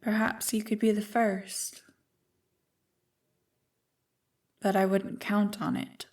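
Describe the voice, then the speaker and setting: A woman voicing an ancient, evil primordial being in an ASMR roleplay, smug and tempting, with a deliberate, taunting delivery. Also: medium pitch, level tone, a little mellow, far from the mic, close to an everyday voice. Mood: indifferent, smug. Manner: slow, deliberate, taunting, coolly amused.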